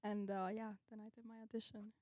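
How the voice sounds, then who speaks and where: A young woman from Switzerland talks in a casual, giggly, upbeat way during a radio interview. Her voice has a middle pitch for a woman. The studio sound is close and dry. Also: flat delivery, fast talker, warm tone, dry recording. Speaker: pop group member.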